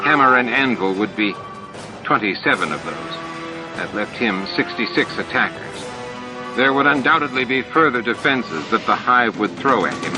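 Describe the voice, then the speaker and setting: A man's voice: medium pitch, poor audio. A man reading scripted third-person science fiction narration as an audiobook narrator. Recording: music and sound effects underneath. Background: music, sound effects.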